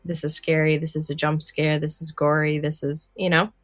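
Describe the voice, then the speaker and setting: A woman's voice: sits low, level tone, moderate pace, no room sound, soft background music. A woman co-hosting a podcast in casual discussion, thinking aloud in hypotheticals and asides. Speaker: podcast co-host.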